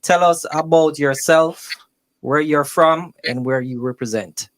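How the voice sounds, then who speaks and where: A male radio show host interviewing guests in a loose, slangy, energetic manner. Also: fairly high pitch, a little slow. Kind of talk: casual interview hosting.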